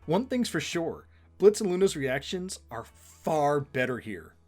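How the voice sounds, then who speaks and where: A man's voice: fairly high pitch, sing-song, measured pace, darkish tone, faint music behind. A man giving scripted back-and-forth commentary as co-host of a fan show.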